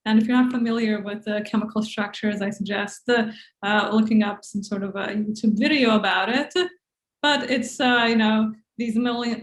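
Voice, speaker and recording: A woman in her thirties, a former postdoc, co-hosting a science lesson with dry, deadpan humor. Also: fairly high pitch. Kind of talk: casual educational chat. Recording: echoey room.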